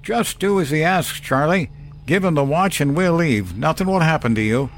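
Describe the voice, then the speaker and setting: Man putting on a high, panicky teenage burglar. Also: expressive, normal speed, faint music behind. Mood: anxious pleading. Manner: agitated, anxious. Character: panicky younger teenage burglar, nervous masked accomplice.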